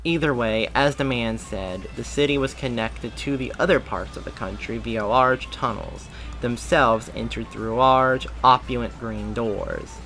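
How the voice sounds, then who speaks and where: A man reads a fan-fiction story aloud in a steady voice, now and then stumbling and restarting a phrase. His voice is bright and forceful, at a middling pitch for a man, with faint music behind. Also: measured pace. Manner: steady, with small slips and restarts. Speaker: story reader.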